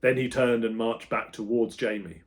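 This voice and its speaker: A man reading his own children's book aloud as an expressive storyteller, with dramatic emphasis that moves between loud and hushed. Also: medium pitch, moderate pace.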